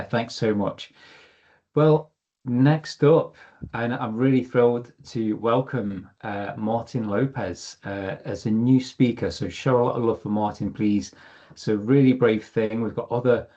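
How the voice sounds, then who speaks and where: A man hosts an online user group, thanking speakers and introducing the next one. His voice is low and mellow, warm in tone. The call audio is dull and lo-fi. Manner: upbeat, effusive praise, fillers. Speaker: host. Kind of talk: hosting a virtual user group.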